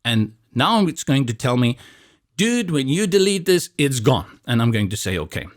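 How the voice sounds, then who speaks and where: A man's voice: mid-range voice, moderate pace. South african guy, afrikaans first language, chill screen tutorial voice-over, easygoing step by step. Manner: relaxed, step-by-step explaining with light humor. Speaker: tutorial instructor. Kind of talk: screen-recorded software tutorial.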